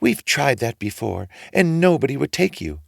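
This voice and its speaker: A man's voice: medium pitch, very animated, moderate pace, vocal fry, no echo, clean studio sound, pitched above the performer's own voice, full character voice. A man voicing a poor, hot-tempered widowed mother in a storybook, fretful and given to indignant exclamations. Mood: worried. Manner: exclaiming, repeating phrases indignantly. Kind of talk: storybook character reading.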